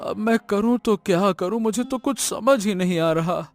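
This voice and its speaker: High-pitched, distraught woodcutter played by a man. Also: normal speed, faint music behind. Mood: bewildered, anxious. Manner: wailing, repetitive, melodramatic. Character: poor woodcutter husband, distraught and remorseful.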